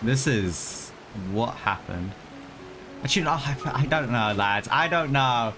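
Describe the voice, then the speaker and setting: A man's voice: medium pitch, expressive, measured pace. A man doing casual livestream game commentary, hesitant, thinking aloud and repeating himself. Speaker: game streamer. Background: game music.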